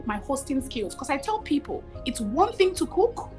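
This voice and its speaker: A woman in her thirties with a Nigerian accent, telling her story as a guest over a video call, expressive and repeating phrases for emphasis. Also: high-pitched, normal speed, over music. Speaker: guest, cooking show host. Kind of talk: animated storytelling interview. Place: home room with curtains, video call.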